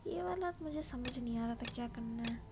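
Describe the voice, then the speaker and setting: A woman's voice: fairly high pitch, racing. A woman with an Indian accent chatting casually on a game live stream, thinking aloud and often murmuring. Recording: clearly noisy home stream.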